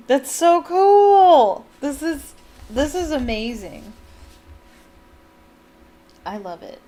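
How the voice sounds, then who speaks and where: A woman's voice: high voice, lively intonation, drawn-out words, pauses often, warm tone. A young adult woman reading a novel aloud as a fan host, breaking off for giggly, enthusiastic comments. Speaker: book reader and fan channel host.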